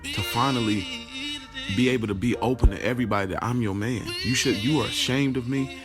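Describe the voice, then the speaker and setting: A man's voice: mid-range voice, a little fast, lightly acted. An actor playing a wounded, angry young man in an audio drama monologue, emphatic and profane, repeating his phrases. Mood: hurt, reproachful. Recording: loud music behind the voice. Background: music.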